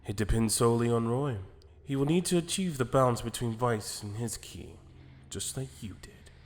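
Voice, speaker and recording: A man playing a calm, calculating celestial attendant who speaks in a measured, formal, deliberate way in an anime fan drama. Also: mid-range voice, in a large hall, lightly acted. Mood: cryptic.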